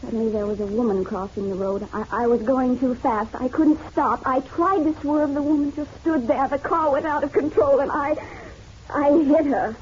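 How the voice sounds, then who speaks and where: An actress playing a distraught, guilt-ridden wife in a melodramatic radio drama, halting and emotional. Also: female voice, sits high, a little mellow, natural voice. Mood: anguished. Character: distraught, guilt-ridden married woman confessing to a detective. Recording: dull lo-fi radio drama, faint noise.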